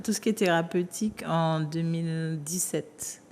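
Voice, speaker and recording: A woman therapist, a guest on a talk show, explaining her work calmly with some hesitant fillers. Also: deep voice, normal speed, a little hiss or hum. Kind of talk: interview answers.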